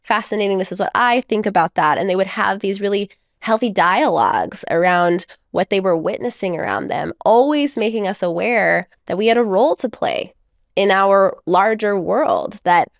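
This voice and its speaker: A married mother and podcast guest, a woman speaking in a reflective, measured way, telling stories with light laughs. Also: mid-range voice, expressive, creaky, no echo, clean studio sound. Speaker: guest author. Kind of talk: conversational podcast interview.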